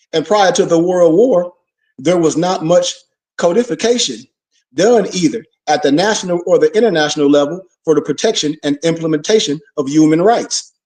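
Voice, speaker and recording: High-voiced man preaching a lecture. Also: a little mellow, recorded from a distance. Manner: preachy, rhetorical questions, call-and-response. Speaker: religious teacher. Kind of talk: informal lecture.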